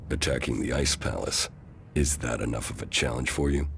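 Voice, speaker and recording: Super deep gravelly game hero, stern ex-commander, terse and flat, noisy ambience behind. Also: male voice, normal speed. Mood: stern, determined. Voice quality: gravelly, creaky. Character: stoic deep-voiced male ex-commander, deserter turned war fighter. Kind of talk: dramatic game dialogue.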